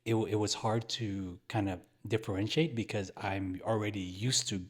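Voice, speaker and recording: A man's voice: lowish voice, fairly even intonation, normal speed. A man from San Francisco talking casually as a podcast co-host. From San Francisco, USA. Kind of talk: casual chat.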